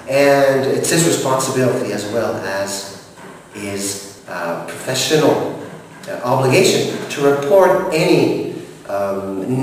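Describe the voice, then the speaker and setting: A man's voice: mid-range voice, slight background noise. Man from montreal, french native speaker, formal and hesitant, far from the mic, very echoey room with long reverb. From Montreal, Canada. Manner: formal, measured, frequent hesitations. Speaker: director of operations. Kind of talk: interview answers.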